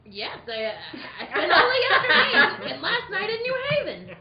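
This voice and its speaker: Lady doing a nervous businessman, stammery and pleading, swoopy pitch, echoey room, radio drama. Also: wildly swooping pitch, moderate pace, full character voice. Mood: protesting, pleading. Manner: stammering, hesitant, protesting. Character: flustered, pleading male insurance man, nervous. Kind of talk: old-time radio detective drama reenactment. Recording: roomy, dull, faintly noisy live recording.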